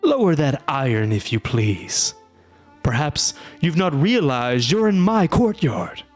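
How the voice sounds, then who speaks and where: A man's voice: fairly high pitch, very animated, slow pace, faint music behind, pitched above the performer's own voice, exaggerated voice. A man voicing a huge, bear-headed male monster who roars threats and grumbles indignantly. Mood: blustering grumble. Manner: roaring, bluster turning to grumbling.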